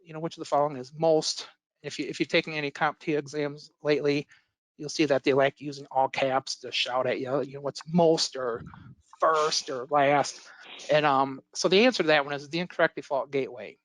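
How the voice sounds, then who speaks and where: A man who is an IT instructor, giving a casual webinar presentation, with a voice worn slightly hoarse from illness and many fillers and restarts, on a computer mic. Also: a little high, fairly even intonation, a little fast, a bit bright, low-quality recording. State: losing his voice from illness.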